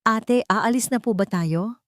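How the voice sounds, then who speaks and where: A woman's voice: on the high side, a little slow, fairly bright tone, dry recording, pitched above the performer's own voice. An eager little sister in a sad storybook drama, voiced by a woman narrator. She is innocent, polite and hopeful, on a dry recording.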